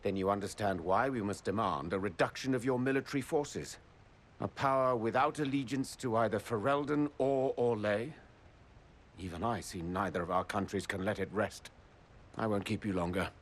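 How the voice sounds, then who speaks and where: A man's voice: lowish voice, normal speed, natural voice. An actor playing a stern male nobleman envoy, speaking formally and firmly. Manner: formal, firm, courtly. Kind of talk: video game cutscene acting.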